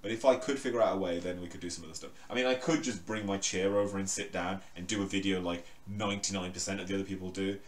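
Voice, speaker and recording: Low booming guy rambling on camera in his bedroom, lots of ums, roomy echo. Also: fairly even intonation, fast talker, hardly any pauses, far from the mic, a little hiss or hum. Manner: rambling, loose, many fillers and restarts. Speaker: crypto vlogger. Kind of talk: casual talk to camera. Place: bedroom, indoors. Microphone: microphone in the room, standing away from it.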